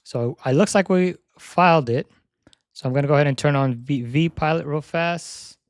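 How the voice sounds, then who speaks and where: A young man live-streaming a flight sim session, chatting casually and thinking aloud as he sets up, his voice slightly hoarse. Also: fairly high pitch, normal speed, no echo, clean studio sound. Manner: repeats "yep" while checking items.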